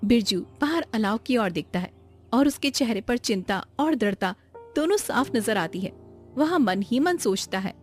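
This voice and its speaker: A woman's voice: a little high, animated, unhurried, faint music behind. A woman narrating a scripted story.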